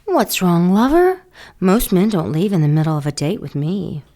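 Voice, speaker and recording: A woman's voice: lowish voice, big pitch swings, normal speed, mellow. An actress playing a scheming female demon, sly and flirtatious in an audio drama.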